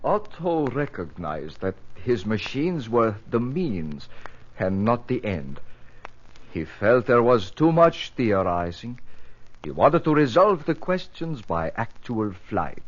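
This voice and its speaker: An actor playing an inventor's devoted, emotional brother in dramatic 1950s radio style. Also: male voice, medium pitch, very animated, slow pace. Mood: grieving. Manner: dramatic, fervent. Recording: muffled old radio transcription.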